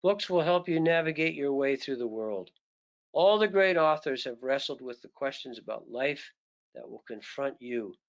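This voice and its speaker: A man voicing a gentle, patient male teacher who speaks softly, low and slowly. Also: animated, natural voice. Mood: earnest. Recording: dull lo-fi recording.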